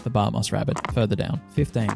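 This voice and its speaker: A man's voice: fairly low pitch, fast. A man chatting casually as a player at a tabletop role-playing game. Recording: music clearly playing behind. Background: background music.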